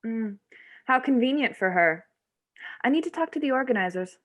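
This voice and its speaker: A woman's voice: fairly high pitch, animated, moderate pace, fairly bright tone. A woman playing a haughty, jealous teenage snowboarder in a screenplay table read, with a smug, clipped delivery. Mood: icy.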